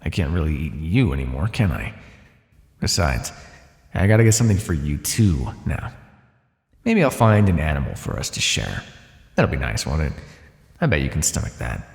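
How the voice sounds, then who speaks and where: A man's voice: very deep voice, very animated, normal speed, vocal fry, pauses often, heavily acted. A man voicing a bored, teasing, menacing naga predator in an ASMR fantasy roleplay, speaking with casual, dry condescension. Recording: echoey, long cave-like reverb.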